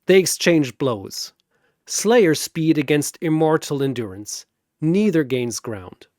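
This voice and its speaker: A man's voice: a little high, lively intonation, slow, a little mellow, dry recording, studio quality. A man narrating a scripted fantasy battle story in a dramatic storytelling style.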